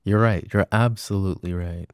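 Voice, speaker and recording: A man's voice: sits low, steady tone, normal speed, clean tone, dry recording, clean studio sound, close to an everyday voice. A young man playing an apologetic, adoring jealous boyfriend in an intimate roleplay, speaking in a casual, hesitant murmur. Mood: contrite, reassured. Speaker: voice actor.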